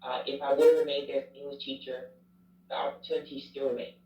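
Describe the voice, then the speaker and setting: A man's voice: medium pitch, level tone, fast. Reflective guy chatting in an interview, kinda far from the mic, dull roomy sound. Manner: candid, reflective, self-deprecating. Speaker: chaplain, former youth pastor. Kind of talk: casual interview storytelling.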